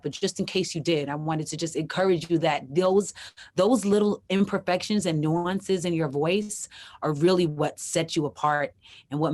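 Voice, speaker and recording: Raspy-voiced woman host, effusive and chatty, slightly low pitch. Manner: effusive, chatty with many restarts. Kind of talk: casual chat.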